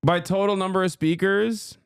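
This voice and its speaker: A man's voice: high voice, steady tone, moderate pace, creaky, clear, resonant tone, no room sound, studio quality. A man from Turkey, a native Turkish speaker, hosting a casual live-stream reaction and bluntly teasing his viewers. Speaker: streamer host.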